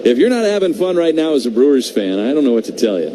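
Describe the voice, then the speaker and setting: Male baseball radio announcer, mid-pitched, conversational yet excitable, clear stadium noise behind. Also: fast. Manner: excited home-team calls, conversational between pitches. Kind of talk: live radio play-by-play commentary. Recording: noisy live radio broadcast feed.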